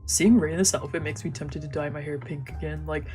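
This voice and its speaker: A woman's voice: sits low, steady tone, brisk, dark tone, faint music behind. A woman narrating a visual novel aloud as a let's play, with casual, slangy asides.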